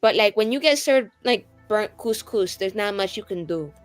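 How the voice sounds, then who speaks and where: A woman's voice: mid-range voice, animated, moderate pace, faint music behind. Chatty, slangy woman in tabletop banter. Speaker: tabletop role-playing player. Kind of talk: casual in-character improvised banter.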